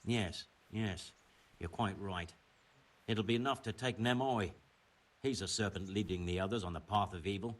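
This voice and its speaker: An actor playing a stern, middle-aged medieval mining chief in a video game, brusque and commanding, with formal wording. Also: male voice, lowish voice, normal speed, close to an everyday voice. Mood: conceding, grim. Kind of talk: scripted video game dialogue. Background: music.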